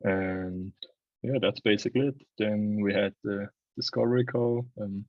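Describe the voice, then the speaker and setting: A man's voice: on the low side, fairly even intonation, measured pace, dry recording, dull audio. A man in his early twenties from Switzerland, chatting casually as an interview guest about his own experience. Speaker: interview guest, videographer and agency owner. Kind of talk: casual chat, telling own story.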